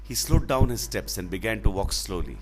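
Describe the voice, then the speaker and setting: A man telling a children's fable in a dramatic, expressive way, with deliberate phrasing. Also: on the low side, slight background noise. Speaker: storyteller.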